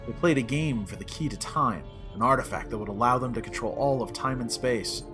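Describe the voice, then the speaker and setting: A man's voice: medium pitch, fairly quick, close to an everyday voice. An actor playing a wry, skeptical male Time Lord adventurer in a sci-fi comedy audio drama, with a dry, sardonic delivery. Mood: pondering. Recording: loud music under the dialogue.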